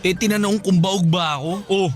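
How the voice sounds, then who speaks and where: A man's voice: high voice, steady tone, normal speed, faint music behind, lightly acted. An actor playing a playful, smitten young Filipino man, whiny and pleading, in a radio drama. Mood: indignant. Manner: whiny, pleading, slangy banter.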